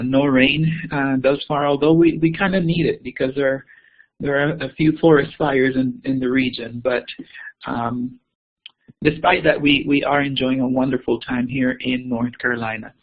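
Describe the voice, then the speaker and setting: Keynote speaker, man from Costa Rica, hesitant, husky voice, phone-quality audio. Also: mid-range voice, steady tone, unhurried. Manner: hesitant, many ums and repeated words. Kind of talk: webinar presentation.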